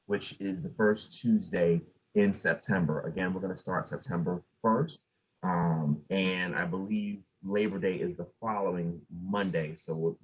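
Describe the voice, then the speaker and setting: Man, forties, very low voice, dean, advising with fillers, dull video call sound. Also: husky. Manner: advising, with many fillers and restarts. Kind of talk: answering student questions at a virtual town hall. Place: home office. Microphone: video call with earbuds.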